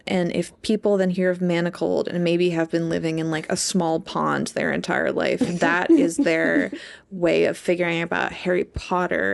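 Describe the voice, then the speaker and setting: Young woman podcaster, lots of vocal fry, rambly casual chat, says like a lot. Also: lowish voice. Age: young adult. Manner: rambling, peppered with "like". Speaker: podcast co-host, English PhD student.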